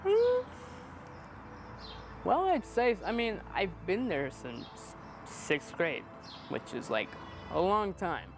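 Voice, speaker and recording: A man's voice: sits high, very animated, slow pace, long pauses, noisy background. A man of twenty-one chatting casually in a rambling, self-deprecating, playfully joking way. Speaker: interviewee, amateur videographer. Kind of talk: casual chat.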